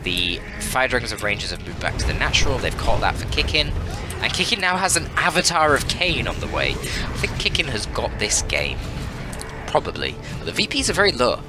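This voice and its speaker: A man's voice: mid-range voice, wildly swooping pitch, a little fast. A man giving casual, chatty and analytical commentary on a strategy game as a caster, informal and lightly joking. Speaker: esports caster and channel host. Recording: music behind the voice.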